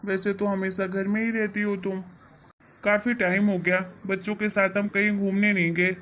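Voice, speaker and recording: A woman's voice: medium pitch, flat delivery, normal speed, a bit bright, natural voice. A woman voicing a caring husband and father in a cartoon family drama, coaxing and reassuring as he asks questions. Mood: encouraging.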